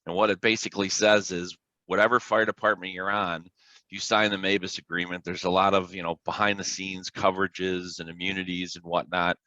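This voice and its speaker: Deep-voiced American man, bright and forceful. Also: level tone, a little fast, no room sound, muffled recording. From Illinois, United States. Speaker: podcast guest, fire dispatcher. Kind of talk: casual explanatory interview talk with anecdotes.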